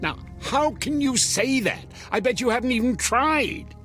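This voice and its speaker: An actor playing a mocking, informal devil in a television drama, sardonic and glib in manner. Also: male voice, very high-pitched, animated, unhurried, fairly bright tone, full character voice. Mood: taunting. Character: smug, mocking, informal male devil toying with his victim. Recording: music under the dialogue.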